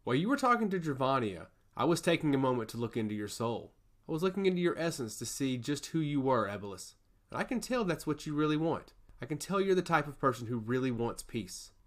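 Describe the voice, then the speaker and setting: A male storyteller plays a solemn goddess of law from a fantasy tale. She speaks formally and with measured gravity, like one who judges souls. Her delivery is earnest, with a lively, varied pitch. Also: close to an everyday voice. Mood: earnest, grave.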